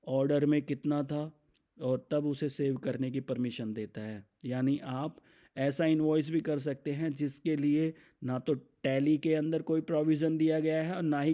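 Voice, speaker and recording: Mellow, even-toned Indian man explaining software. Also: on the high side. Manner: conversational, explains step by step, poses questions then answers them. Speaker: software product demonstrator. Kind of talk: explanatory software demo narration, semi-scripted.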